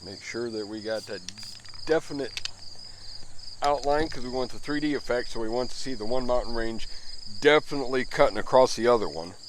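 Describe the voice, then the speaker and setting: A man in his late fifties talks through his spray painting in a chatty, self-deprecating ramble. His voice sits at an ordinary middle pitch. Crickets and insects chirp clearly behind him outdoors. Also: fairly quick, noisy background. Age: 50s. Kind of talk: running commentary while working.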